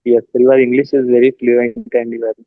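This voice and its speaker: A man with an Indian accent, an English learner on a call, speaking deferentially in halting practice conversation. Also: medium pitch, flat delivery, no echo. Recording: narrow, tinny phone-line sound.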